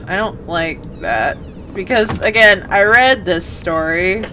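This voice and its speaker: A woman's voice: medium pitch, lively intonation, takes it slowly, sharp tone, slight background noise. A woman chatting casually as she plays a video game, reacting in short muttered remarks and exclamations. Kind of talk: casual let's play chat while playing.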